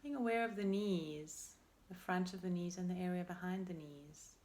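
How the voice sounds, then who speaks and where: A woman leading a guided meditation as a teacher, speaking in a gentle, unhurried and soothing way with pauses between phrases. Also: on the low side. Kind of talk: guided meditation, body scan.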